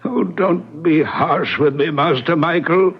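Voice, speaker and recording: An actor playing a loyal, elderly manservant in an old-time radio drama, pleading and deferential in a halting manner. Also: male voice, fairly high pitch, husky. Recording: dull lo-fi radio drama recording.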